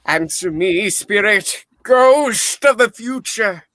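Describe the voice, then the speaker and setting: A man's voice: high-pitched, animated, slow pace, fairly bright tone, no room sound, full character voice. A man voicing an anxious old miser who speaks in a dramatic, beseeching way in a radio play reading. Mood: fearful, resolved. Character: Ebenezer Scrooge, anxious pleading old miser.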